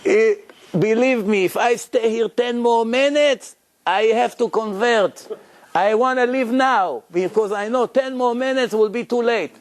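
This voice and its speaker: A man's voice: extremely high pitch, unhurried, voice pushed up. A man voicing an indignant Druze man with an Arabic accent who pleads with and scolds a crowd. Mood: pleading. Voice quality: loud, pleading, emphatic.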